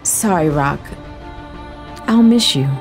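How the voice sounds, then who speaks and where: A woman's voice: sits low, animated, slow pace, soft background music, heavily acted. An actress playing an earnest, resolute young female resistance fighter in an audio drama. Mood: pleading, wistful.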